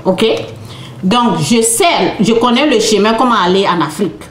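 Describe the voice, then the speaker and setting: A woman's voice: medium pitch, expressive, normal speed. A woman from Côte d'Ivoire gives an informal spiritual talk as an author. She speaks off the cuff, restarts phrases and keeps checking in with her listeners. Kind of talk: informal spiritual teaching to an audience. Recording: roomy echo.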